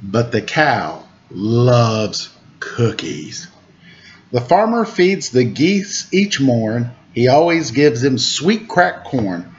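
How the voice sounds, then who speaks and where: A man in his forties reading a picture book aloud to children in a deliberate sing-song way, with casual asides. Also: medium pitch, sing-song. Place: indoor room at home. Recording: dull lo-fi webcam audio with noise.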